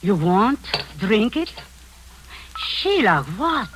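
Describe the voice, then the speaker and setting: A sinister, falsely sweet older woman in a vintage radio suspense play. Her delivery is honeyed but controlling, with a lively, swooping pitch, and a faint hiss of old tape sits behind her. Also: extremely slow. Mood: falsely soothing. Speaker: Aunt Rita, the villain. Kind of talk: 1940s radio acting.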